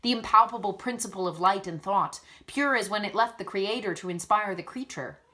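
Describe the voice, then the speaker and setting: A woman reading a gentle, pious schoolgirl's speech in a soft, earnest, measured way. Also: medium pitch, natural voice. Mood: earnest, serene.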